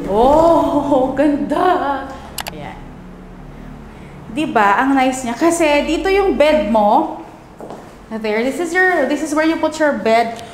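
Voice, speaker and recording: A young woman with a Filipino accent, chattily and enthusiastically presenting a house tour, switching between two languages. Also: on the high side. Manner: chatty, enthusiastic, mixes Tagalog and English. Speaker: property tour host. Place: empty rooms of a house. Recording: echoey empty rooms, slight background noise.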